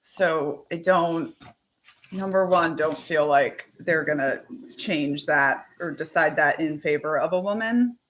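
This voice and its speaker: A woman talking casually and animatedly as host, with quick asides, laughs and the odd swear word. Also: sits low, a bit bright, poor audio. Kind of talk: casual chat to camera.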